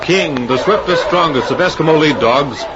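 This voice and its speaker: A man's voice: on the high side, normal speed, heavy background noise. A man narrating an old adventure serial in a dramatic, scripted 1940s radio announcer style. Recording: dull old radio recording.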